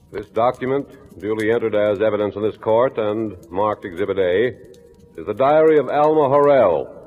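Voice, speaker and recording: An actor playing a formal, theatrical district attorney in a 1940s radio drama, on a narrow, tinny old recording. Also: male voice, mid-range voice, close to an everyday voice.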